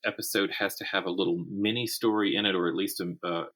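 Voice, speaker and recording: A man's voice: low voice, steady tone, fast talker, a bit bright. A man giving a conversational interview as a guest writer, explaining his craft and thinking aloud as he goes. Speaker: guest author and audio drama writer.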